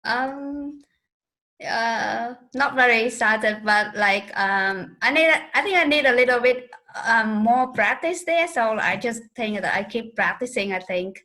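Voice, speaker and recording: A woman's voice: fairly high pitch, lively intonation, measured pace, sharp tone. Hesitant woman with many fillers. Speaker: speech club member. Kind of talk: casual spoken reflection on a video call. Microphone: video call.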